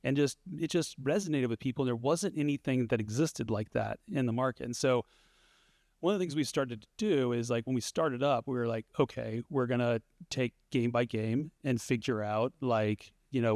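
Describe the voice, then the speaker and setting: A man speaking on a conference stage in a middle-pitched, everyday voice. He talks loosely and conversationally, restarting sentences and filling gaps with ums. The sound is close and dry, with no room echo. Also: a little mellow. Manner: conversational, many fillers and restarts. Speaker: panelist, studio founder. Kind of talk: conference panel answer.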